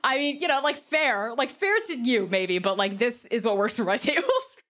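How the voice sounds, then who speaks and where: A woman in casual, opinionated discussion as a podcast guest, speaking emphatically and in halting, hedged phrases. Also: fairly high pitch, animated, a bit bright. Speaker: podcast guest, game master.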